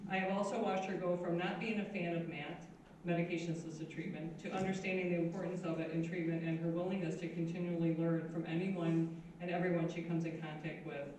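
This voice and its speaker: A woman's voice: fairly low pitch, flat delivery, fairly quick, darkish tone. A woman who organizes the event, reading a prepared introduction in an earnest, slightly nervous way. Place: meeting room. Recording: very echoey hall, distant mic, faint noise.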